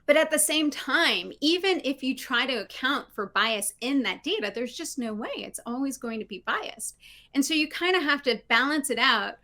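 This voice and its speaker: A woman's voice: high voice, normal speed. A middle-aged woman speaking as a guest on a video call from her living room, talking animatedly and often tagging her points with "right?". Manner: animated, frequent "right?" tags, laughs. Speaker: guest, diversity consultant. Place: home living room with bookshelves. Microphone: video call, laptop mic.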